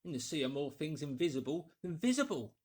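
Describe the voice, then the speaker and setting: Storybook English doctor, acted by a male reader: high-pitched, incredulous, exclamatory, thinking aloud in bursts. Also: very animated, a little fast, a little mellow, breathy edge. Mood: musing, excited. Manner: exclamatory, emphatic, musing aloud in short bursts. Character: astonished, agitated English doctor arguing with himself. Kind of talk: dramatised audiobook character voice.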